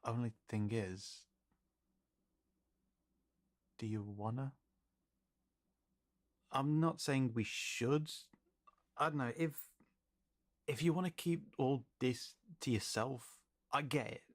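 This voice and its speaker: A man playing an easygoing, gently supportive fantasy companion in an audio roleplay, speaking softly and haltingly. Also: mid-range voice, natural voice. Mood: tentative, gentle. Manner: halting, soft-spoken, reassuring. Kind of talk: intimate audio roleplay acting.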